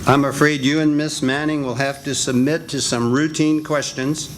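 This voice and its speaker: An older man in a senior center radio drama reading, playing a calm, businesslike detective. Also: fairly high pitch, background noise, close to an everyday voice. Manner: measured, businesslike. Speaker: amateur radio actor.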